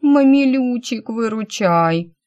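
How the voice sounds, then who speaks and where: A woman's voice: on the high side, lively intonation, takes it slowly, a little mellow, lo-fi sound, voice pushed up. A woman voicing a cheerful, pleading twenty-year-old sister in an audiobook, direct and wheedling.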